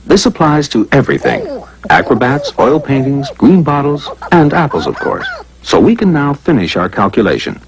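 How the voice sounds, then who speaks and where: Animated male narrator with sweeping pitch. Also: moderate pace, low-quality recording. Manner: playful, conversational, rhetorical questions. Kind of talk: scripted educational cartoon narration.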